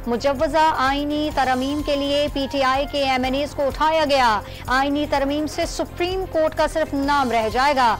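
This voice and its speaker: A woman with a Pakistani Urdu accent reading scripted TV news headlines in a brisk, formal manner. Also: high voice, steady tone. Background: news music bed.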